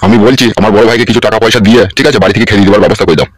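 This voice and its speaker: A man's voice: on the low side, fairly even intonation, very fast, background noise, lightly acted. A man playing a wronged, desperate husband in a comedy cartoon, speaking crudely. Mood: pleading. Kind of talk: amateur comedy cartoon dialogue.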